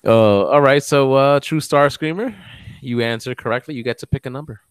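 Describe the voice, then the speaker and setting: A man's voice: medium pitch, brisk, clear, resonant tone, dry recording. A man hosting a casual group podcast, running a quiz segment with loose, swearing banter.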